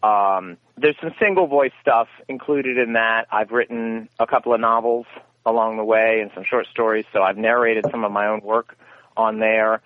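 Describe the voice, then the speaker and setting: A man's voice: fairly low pitch, fairly even intonation, normal speed, no echo. Rambling man on a tinny phone line. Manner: rambling, self-correcting storyteller. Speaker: guest, radio theater founder. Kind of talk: conversational interview answers.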